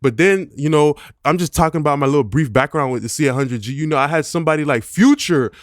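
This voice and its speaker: A man's voice: a little high, fast talker, no echo. A man, a music producer, reviewing studio gear in a loose, conversational way, full of fillers and slangy grammar. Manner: loose, conversational, many "you know" fillers. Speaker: music producer and mixing engineer. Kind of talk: casual gear review talk to camera.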